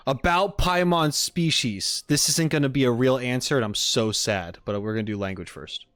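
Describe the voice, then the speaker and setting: A man's voice: mid-range voice, normal speed, a bit bright, no echo. Opinionated man streaming, close dry sound. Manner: opinionated, emphatic, argumentative. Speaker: streamer reacting to game voice lines. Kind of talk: casual livestream commentary.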